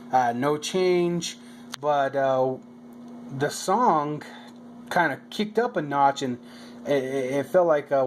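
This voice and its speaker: A man with an average-pitched voice talks through a hobby project like a home vlogger. His delivery is casual and halting, with words repeated as he feels his way along. Noticeable background noise runs behind him. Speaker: hobbyist video maker. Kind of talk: casual narrated project vlog.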